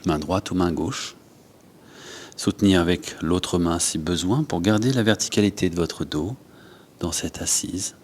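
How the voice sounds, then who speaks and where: Guy w deep voice leading yoga breathing, slow short cues w pauses, bit of rumbly noise behind. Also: darkish tone. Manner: unhurried, instructing in short phrases with pauses. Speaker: yoga teacher. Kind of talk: guided yoga and breathing instruction.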